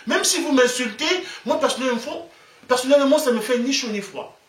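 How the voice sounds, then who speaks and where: A Congolese man with a Congolese French accent delivers a fervent, preachy monologue, hammering his points home by repeating them. His voice sits high for a man. He sounds set back from the mic in a room full of echo. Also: lively intonation, brisk, recorded from a distance. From Republic of the Congo. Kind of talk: impassioned monologue. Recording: echoey room, away from the mic.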